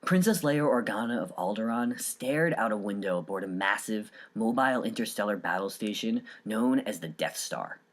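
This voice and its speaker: A man's voice: fairly low pitch, lively intonation, moderate pace, few pauses. A man hosting a podcast, reading scripted narration in an earnest, carefully enunciated way. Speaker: podcast host.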